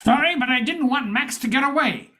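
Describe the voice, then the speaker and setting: An older woman playing a confident, brisk private detective in an amateur old-time radio drama. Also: high-pitched, pushed and bright. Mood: determined.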